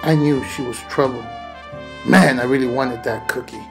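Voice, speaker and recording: A brooding, world-weary gumshoe from a noir parody, acted out by a man. He narrates with weighty dramatic pauses over music. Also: mid-range voice, fairly even intonation, voice pushed down, close to an everyday voice. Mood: wary, deadpan. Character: world-weary hard-boiled 1940s male private detective narrator. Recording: music behind.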